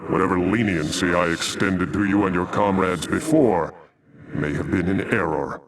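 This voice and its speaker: Deep, solemn alien commander played by a man. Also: distant mic, background noise, lightly acted. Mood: stern, defiant. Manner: formal, measured, grave. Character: noble, solemn alien Protoss commander, calm and resolute. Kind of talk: scripted video game acting.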